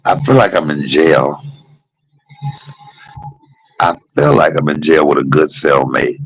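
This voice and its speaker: A man's voice: very deep voice, unhurried, husky, rough recording. A man chatting casually from a hospital room, joking and teasing with bursts of laughter. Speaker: podcast host. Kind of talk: casual chat.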